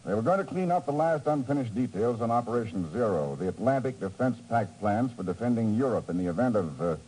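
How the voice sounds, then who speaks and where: A man's voice: mid-range voice, expressive, fast, warm tone, husky. An actor playing an urgent, skeptical embassy intelligence man in a 1950s radio drama. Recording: noisy vintage 1950s radio broadcast.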